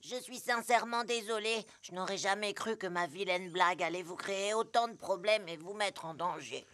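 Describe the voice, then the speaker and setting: A woman voicing a young, mischievous talking vehicle in a children's cartoon dub, expressive and excitable. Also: medium pitch, normal speed, close to an everyday voice. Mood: remorseful. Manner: exclamatory, expressive. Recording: loud music under the voice.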